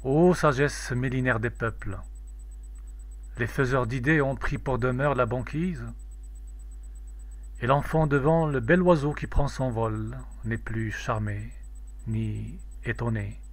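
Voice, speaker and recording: A man reciting a poem in a measured, declamatory, solemn way. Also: mid-range voice, no echo. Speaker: poetry reader.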